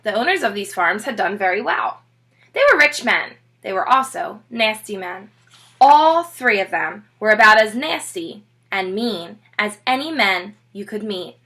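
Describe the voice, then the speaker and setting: A woman reading a children's book aloud as a teacher, expressive and emphatic, with brief asides to listeners. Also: mid-range voice, measured pace.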